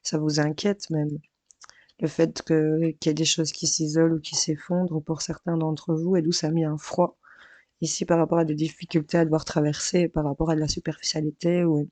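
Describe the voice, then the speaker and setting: A hoarse woman losing her voice works through a daily tarot horoscope in a chatty, hesitant way, calling her viewers friends. Her delivery stays flat and even, with little rise or fall. The sound is dry and close, with no room echo, though the mic sits a little farther off. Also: deep voice, fairly quick. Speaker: tarot card reader. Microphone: mic placed farther away.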